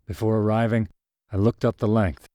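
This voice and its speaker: A man's voice: lowish voice, flat delivery, normal speed, warm tone, dry recording, clean studio sound. A man reading scripted first-person fiction as the narrator of a short story.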